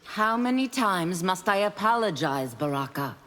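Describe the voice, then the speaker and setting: An actress playing a composed, solemn warrior woman in a fighting game, speaking in a measured, earnest way. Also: lowish voice, animated. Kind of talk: dramatic video game dialogue. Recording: long reverb, loud background noise.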